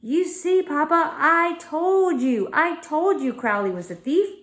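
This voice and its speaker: A woman's voice: high voice, lively intonation, a little slow, a bit bright, voice pushed up. A woman voicing a bold, headstrong young girl in a children's storybook, insistent and emphatic. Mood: triumphant.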